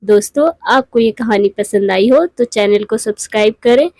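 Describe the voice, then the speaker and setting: A woman's voice: mid-range voice, normal speed, darkish tone, no echo. A woman narrating a scripted children's moral story.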